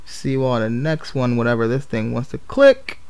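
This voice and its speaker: A man's voice: mid-range voice, sing-song, normal speed, darkish tone, clear, resonant tone. A man chatting casually as he livestreams a game, rambling and often repeating his phrases. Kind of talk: casual gaming stream chat.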